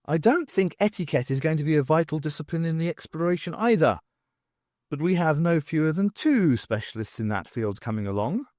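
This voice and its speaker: A man voicing a wry, brisk female academic in an audiobook, with a dry, sardonic manner. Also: fairly high pitch, animated, darkish tone, no echo, natural voice. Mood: bright, wry.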